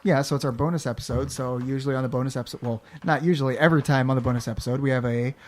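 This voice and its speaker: A man's voice: mid-range voice, fairly even intonation, rapid-fire. A man chatting casually and informally as a podcast co-host. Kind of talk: casual chat.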